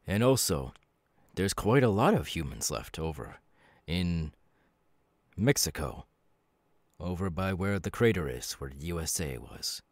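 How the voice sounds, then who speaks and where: Demon warrior, male actor, audio roleplay: low-pitched, knowing, calmly menacing, halting dramatic delivery, dry recording. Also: dry recording, exaggerated voice. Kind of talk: ASMR audio roleplay monologue.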